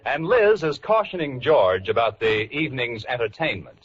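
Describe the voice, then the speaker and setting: A man's voice: mid-range voice, animated, normal speed. A man announcing and narrating from a script in 1940s radio style. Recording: muffled old radio broadcast. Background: music.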